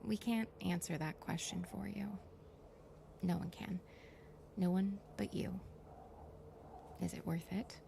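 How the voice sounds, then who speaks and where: A woman voicing an eerie, cryptic presence in an audio drama, in a creaky whisper. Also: lowish voice, steady tone, slow pace, long pauses, mellow, full character voice. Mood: cryptic, probing. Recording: distant voice in noisy ambience.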